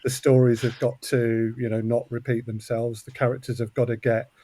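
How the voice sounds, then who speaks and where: A man with a medium-pitched voice talks as an interview guest on a podcast. He phrases things thoughtfully, pausing and restarting, and the sound is very dry. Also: little pitch movement, warm tone, no room sound. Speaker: podcast guest, audio drama producer. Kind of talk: conversational interview.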